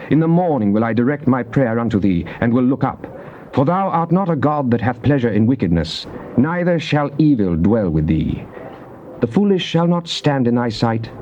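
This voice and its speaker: An actor playing a devout psalmist in a dramatized scripture reading, with a British accent and solemn, declamatory, measured phrasing. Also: male voice, medium pitch, expressive, lightly acted. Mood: earnest, prayerful. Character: devout psalmist pleading and praising God. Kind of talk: dramatized scripture reading, classical stage delivery. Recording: dull, noisy old cassette tape.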